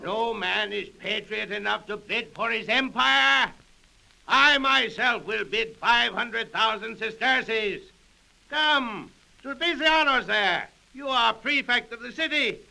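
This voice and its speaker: An actor playing a grandiose, goading Roman Praetorian officer running an auction in declamatory 1930s radio drama style. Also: male voice, very high-pitched, lively intonation, slow pace, gravelly, pushed and bright, heavily acted, poor audio. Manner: declamatory, theatrical oratory. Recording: old 1930s radio recording.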